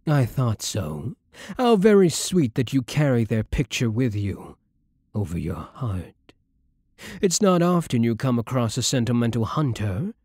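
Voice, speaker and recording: A man playing a seductive, menacing vampire lord in an ASMR roleplay, with a breathy voice and a silky, theatrical, condescending manner. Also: medium pitch, big pitch swings, darkish tone, dry recording, clean studio sound. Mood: mock-tender, probing. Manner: slow, theatrical, silky condescension with dropping murmurs. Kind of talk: ASMR roleplay, scripted monologue to the listener.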